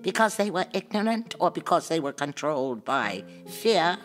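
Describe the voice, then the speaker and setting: A woman acting a grave, knowing confidante who speaks deliberately and formally in a science fiction audio drama. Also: low-pitched, sing-song, big-room sound, faint music behind. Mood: grave, foreboding.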